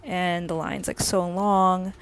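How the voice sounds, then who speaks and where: Creaky-voiced young woman rambling a storytime. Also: lowish voice, steady tone, takes it slowly. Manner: rambling, heavy use of "like" fillers. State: slightly hoarse from a sore throat. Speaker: vlogger. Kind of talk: casual storytime.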